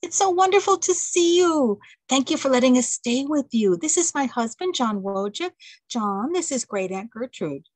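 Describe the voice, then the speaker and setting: A woman's voice: high voice, expressive, moderate pace, no room sound, pitched above the performer's own voice. A woman reading as an eager, apologetic young wife. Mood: excited.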